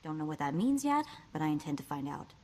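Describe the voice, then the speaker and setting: A woman's voice: deep voice, sing-song, fast, dark tone. A woman voicing a plucky, street-smart young slicer in a video game, wry and breezy, with clipped slangy talk. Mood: determined.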